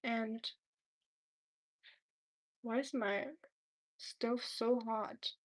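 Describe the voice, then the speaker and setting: A woman's voice: fairly high pitch, level tone, extremely slow. A woman from Germany with a German accent, chatting casually while cooking on a livestream and answering viewer comments.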